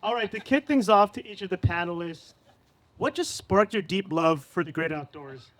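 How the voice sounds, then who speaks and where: A man's voice: sits high, normal speed, a bit bright. A man hosting a live podcast panel at a cafe event, upbeat and hyping up his guests. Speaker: podcast host.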